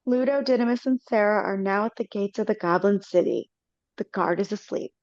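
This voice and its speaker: A woman's voice: medium pitch, fairly quick, a little mellow, no echo. A woman reading the stage directions aloud in plain, matter-of-fact narration. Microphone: video call. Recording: dull dry video call audio.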